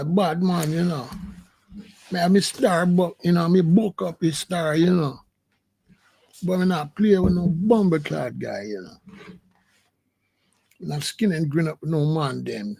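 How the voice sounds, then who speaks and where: A man's voice: on the high side, creaky, a little mellow. A man voicing a boastful, tough-talking rasta with a put-on Jamaican patois, full of repeated tags. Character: swaggering tough male rasta, boastful, no-nonsense. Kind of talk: comic impression.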